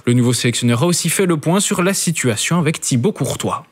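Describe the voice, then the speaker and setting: A man presenting sports news on the radio, relaxed, with frequent fillers. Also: fairly high pitch, quick pace, husky.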